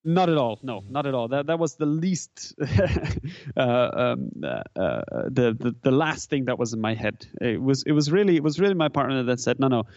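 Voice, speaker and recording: Halting man, dry sound. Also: medium pitch, animated, a little mellow, no echo, lo-fi sound. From German-speaking Switzerland. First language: Swiss German. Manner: halting, full of restarts and repeated words. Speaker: musician guest. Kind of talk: casual interview answers.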